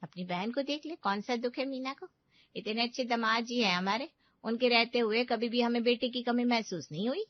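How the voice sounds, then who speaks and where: Soothing middle-aged mother, fairly high woman's performance. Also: moderate pace, no echo, dull audio, lightly acted. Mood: gently persuading. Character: caring, reassuring middle-aged Indian mother of two daughters. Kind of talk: Hindi audio drama acting.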